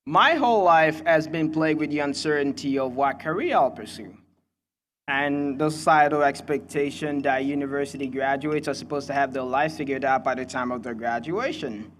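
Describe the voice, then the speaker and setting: Young Congolese-accented man, higher-pitched, speechmaking. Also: a bit bright, a little hiss or hum. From Kinshasa, Democratic Republic of the Congo. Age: young adult. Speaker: student commencement speaker. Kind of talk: prepared graduation speech.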